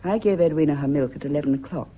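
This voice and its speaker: A woman's voice: deep voice, rapid-fire. An actress playing an anxious, suspicious woman, intense and probing, in an old-fashioned British radio drama style. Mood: suspicious, insistent. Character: anxious, suspicious woman questioning her secret lover. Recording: dull, noisy old radio recording.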